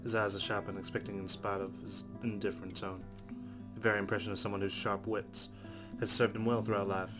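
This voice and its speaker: A man with a fairly low voice reads story narration aloud as a let's play host. Guitar music plays clearly behind him as he reads from a visual novel. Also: flat delivery, brisk.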